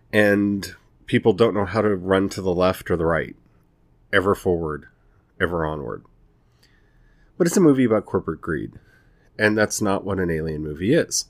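A man giving casual solo podcast commentary as the host, speaking emphatically in short, halting phrases. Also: sits low, dry recording. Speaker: podcast host reviewing films.

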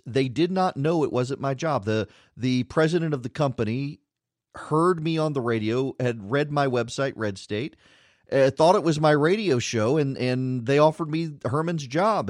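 A man's voice: medium pitch, no echo, studio quality. A man who hosts a radio show, talking about his faith in a reflective, self-deprecating way and often repeating words as he goes. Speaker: radio host. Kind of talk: reflective personal talk on faith.

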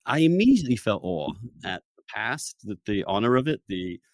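A man's voice: on the low side, very animated, a little fast, dry recording. A man hosting a podcast, giving opinionated conversational commentary and often repeating and restarting his phrases. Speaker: podcast host.

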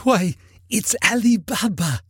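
A man voicing an emotional old man in a storybook reading, exclaiming and repeating himself in a husky, cracking voice. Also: very high voice, very animated, takes it slowly, mellow, no room sound, studio quality, pitched above the performer's own voice, heavily acted. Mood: ecstatic. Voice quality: husky, cracking between laughing and crying. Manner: exclamatory, breathlessly repetitive.

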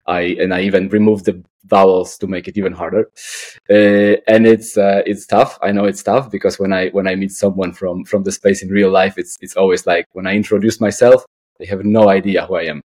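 A man's voice: low voice, fairly even intonation, fairly quick, darkish tone. A man in his late thirties with a Polish accent, answering casually as an interview guest, hesitant and often repeating words. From Poland. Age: late 30s. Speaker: guest, artist and architect.

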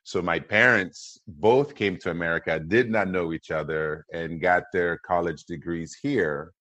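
A man's voice: low voice, a little slow, fairly bright tone, dry recording. A man in his forties who grew up in Congo, a podcast guest telling his family story in an upbeat way, with many "uh" and "um" fillers. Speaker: podcast guest, motivational speaker. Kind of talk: conversational interview.

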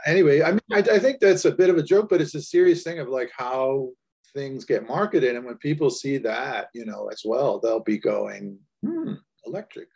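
Husky, gravelly man musing casually. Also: medium pitch, moderate pace, a little mellow. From United States. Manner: musing, joking, conversational. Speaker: podcast co-host. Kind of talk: casual podcast discussion.

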